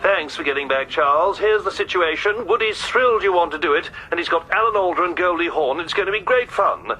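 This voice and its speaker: A man playing a glib, evasive showbiz agent in a radio comedy drama, brisk and breezy. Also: sits high, lively intonation, bright, forceful tone, a little hiss or hum, poor audio. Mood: breezy, excited.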